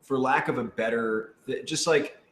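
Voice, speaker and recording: A man's voice: medium pitch, normal speed. A man, a voice actor, chatting off the cuff in a playful, informal way during a question-and-answer session. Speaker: voice actor on a radio drama. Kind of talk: casual unscripted Q&A chat. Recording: echoey room with clear background noise.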